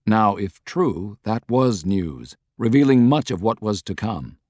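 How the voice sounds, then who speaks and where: Low-pitched man narrating, dry studio sound. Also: sing-song, a little slow, a little mellow, no room sound. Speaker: audiobook narrator. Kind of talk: scripted dramatic narration of a historical novel.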